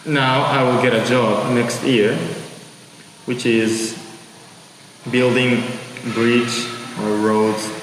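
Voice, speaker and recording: A young man from Japan speaks slowly and haltingly, urging his listeners on with earnest, pleading warmth. His mid-range voice rings through a big echoey hall with a long reverb tail. Steady background noise sits under his words. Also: medium pitch. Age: 20s. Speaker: exchange student. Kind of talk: earnest motivational address to listeners.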